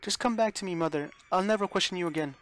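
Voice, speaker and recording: A man's voice: on the high side, steady tone, normal speed, pitched above the performer's own voice, lightly acted. A man voicing a desperate, unhinged young woman who pleads and stammers through repeated restarts. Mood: pleading. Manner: pleading, sobbing, stumbling restarts.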